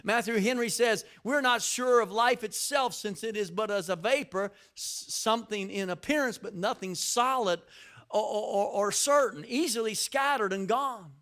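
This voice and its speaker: An older man preaches a sermon in a strikingly high voice. He builds his points by repeating words, then rises into forceful, shouted lines. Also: normal speed. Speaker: pastor.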